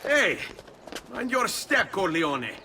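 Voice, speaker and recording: A man's voice: high voice, very animated, slow, pushed and bright, a little hiss or hum, exaggerated voice. A man playing a confident young assassin in a video game, with an Italian accent and a wry, self-assured manner. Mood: urgent. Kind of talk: video game character acting.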